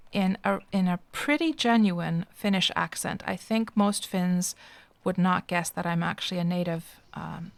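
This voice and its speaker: A woman's voice: mid-range voice, gravelly, no room sound. A middle-aged woman, chatting casually and expressively with viewers as a live streamer.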